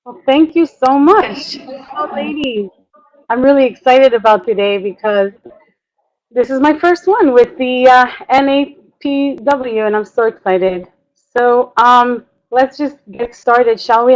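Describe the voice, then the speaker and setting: A middle-aged woman from Montreal presenting informally as a coach, enthusiastic and chatty, often halting and interrupting herself. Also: fairly high pitch. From Montreal, Canada; born in Israel. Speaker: business coach and public speaker. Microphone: online webinar audio. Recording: dull lo-fi web conference audio.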